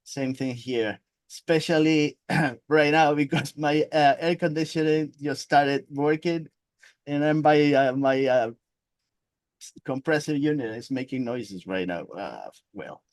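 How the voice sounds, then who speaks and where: A man's voice: on the high side, a little slow, no room sound. Hesitant male interview host. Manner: hesitant, many fillers. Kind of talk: casual interview.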